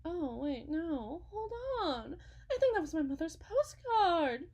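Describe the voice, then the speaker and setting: An unsettling female faith healer, acted by a woman in an audio roleplay, with a very high voice and a slow delivery. She preaches sweetly and theatrically, pleading as a self-styled prophet who is utterly fervent. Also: very animated, full character voice. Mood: doting, coaxing. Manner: theatrical, pleading, sing-song preaching with drawn-out words and sudden hushes. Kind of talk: dramatic ASMR roleplay monologue.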